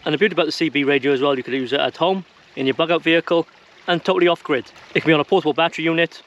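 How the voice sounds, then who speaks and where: A man's voice: fairly high pitch, rapid-fire, a bit bright, dull audio, a little hiss or hum. A man presenting an informal how-to video to viewers in a plain-spoken, conversational way. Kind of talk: informal instructional talk to viewers.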